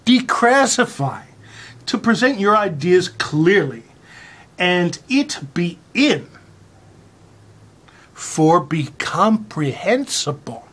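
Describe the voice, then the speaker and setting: A person giving a mock-dramatic reading with theatrical, exaggerated emphasis and dramatic pauses. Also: mid-range voice, sing-song, a bit bright, husky.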